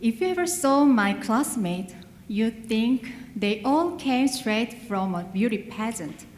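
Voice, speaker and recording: Woman, non-native English, earnest graduation speech, unhurried, roomy hall echo. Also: a little high, lively intonation, a little mellow, slight background noise. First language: not English. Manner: slow, earnest and heartfelt, with small grammar slips. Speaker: graduating adult ESL student giving a speech. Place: large hall. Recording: echoey hall with long reverb.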